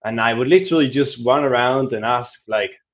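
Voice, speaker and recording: A man talks in an easygoing, chatty way, reading viewer comments aloud and answering them with small restarts. His voice sits at an ordinary middle pitch. The recording sounds a little dull and lo-fi. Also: normal speed. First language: Danish. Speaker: travel vlogger answering viewer questions. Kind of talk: casual live Q&A, switching between English and Spanish.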